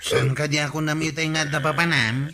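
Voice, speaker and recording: An actor playing a chatty, inquisitive, teasing villager in an Ilocano radio comedy. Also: male voice, fairly high pitch, level tone, a little fast, sharp tone, slight background noise. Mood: probing.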